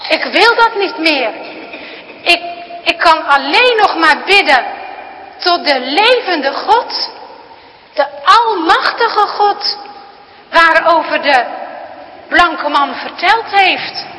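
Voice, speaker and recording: A woman's voice: very high-pitched, lively intonation, slow, pauses often, bright, forceful tone, big-room sound, voice pushed up, exaggerated voice, low-quality recording. A woman voicing a frightened but steadfast young girl who speaks earnestly and pleadingly.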